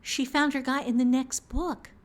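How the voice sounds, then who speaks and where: A woman's voice: high voice, little pitch movement, moderate pace. Woman casually talking shop as a novelist. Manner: chuckles often while talking, emphatic repetition. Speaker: novelist and co-host discussing fiction writing. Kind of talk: casual conversational writing discussion.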